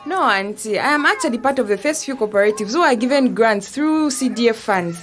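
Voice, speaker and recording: A woman with a Zambian accent playing a bright, respectful young woman who patiently explains things in an educational audio drama. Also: on the high side, lively intonation, fairly quick, faint music behind, close to an everyday voice. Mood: earnest, explanatory.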